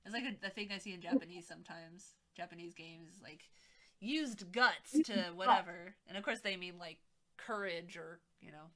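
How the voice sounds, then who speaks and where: A woman's voice: lowish voice, moderate pace, fairly bright tone. A woman streamer chatting casually while she arranges craft beads, laughing often and trailing off with "I don't know.". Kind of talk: casual chat.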